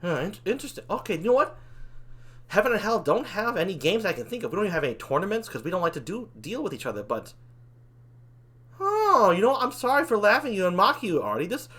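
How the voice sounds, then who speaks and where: A man playing an excitable, panicky, foul-mouthed but chummy demon in an improvised comedy role-play, with theatrical, rambling delivery. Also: high voice, fast, breathy edge, full character voice. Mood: pensive. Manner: theatrical, rambling, exclamatory, swearing.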